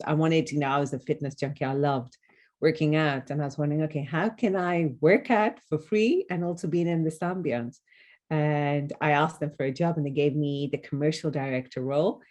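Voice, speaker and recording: A woman in her forties with a low, mellow voice answers interview questions as an expert guest. She is articulate and earnest, explaining her field in a conversational way. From Kenya. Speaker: wellness consultant and author, podcast guest.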